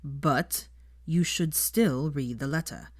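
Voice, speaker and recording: A woman voicing a calm, serious young man in an audiobook, speaking in a measured, pointed way. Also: low-pitched, no echo, clean studio sound. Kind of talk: audiobook character dialogue.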